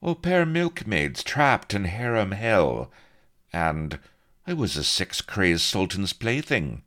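A man putting on a cynical rogue who talks back in flippant, sarcastic jabs. The voice jumps well above the narrator's deep tone and stays loud and lively. It is read like a comic storybook. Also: normal speed. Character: cynical wisecracking male rogue pirate.

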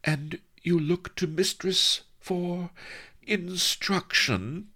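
A man voicing an imperious, seductive female pirate in an audiobook, with a breathy, husky murmur and mock-archaic, coquettish speech. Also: on the low side, big pitch swings, slow, a bit bright, pitched above the performer's own voice, heavily acted. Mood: eager, yearning. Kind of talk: audiobook character voice.

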